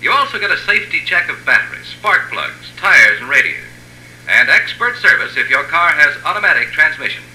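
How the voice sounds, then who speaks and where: Bright, punchy 1950s American radio announcer man. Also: on the high side, sing-song, a little fast. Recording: old 1950s radio, echoey, hissy hum.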